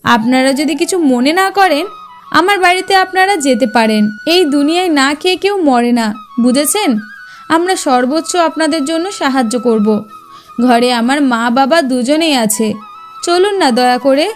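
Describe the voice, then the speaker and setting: A woman's voice: sits high, expressive, normal speed, faint music behind. A woman voicing a kind, spirited young forest girl, earnest and confident, in a cartoon fairy tale.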